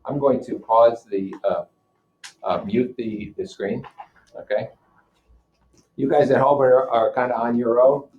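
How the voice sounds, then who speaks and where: A man's voice: mid-range voice, steady tone, a little slow, long pauses. A man teaching a class, reading a novel aloud and breaking off to explain it informally and put questions to his listeners. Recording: dull, lo-fi audio.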